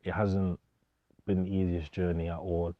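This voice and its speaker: A man's voice: deep voice, flat delivery, normal speed, no echo, lo-fi sound. A young man, speaking informally with slang and repetition as a DJ being interviewed. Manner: informal, slangy, repeats himself. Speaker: DJ, interview guest. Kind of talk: casual documentary interview.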